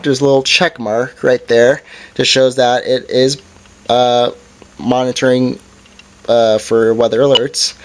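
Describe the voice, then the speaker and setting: A man with a medium-pitched voice presents a hands-on product review. He talks informally and hesitantly, with an apologetic manner, as he demonstrates features step by step.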